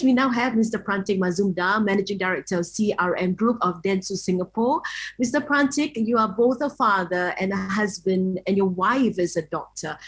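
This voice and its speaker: A woman's voice: mid-range voice, husky. Friendly middle-aged woman moderator. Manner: friendly, hesitant fillers. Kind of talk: moderating an online panel. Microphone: video call.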